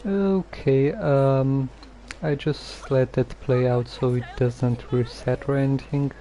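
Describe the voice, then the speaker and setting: A man casually chatting on a game livestream in a halting, hesitant manner. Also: mid-range voice, steady tone, warm tone, slight background noise, poor audio. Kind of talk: casual livestream chat while playing.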